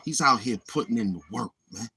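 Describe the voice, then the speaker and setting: A man's voice: mid-range voice, lively intonation, a little fast, gravelly, pushed and bright, husky. A man hosting his own channel in a boastful, taunting livestream monologue, swaggering and repeating himself. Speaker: YouTube channel host.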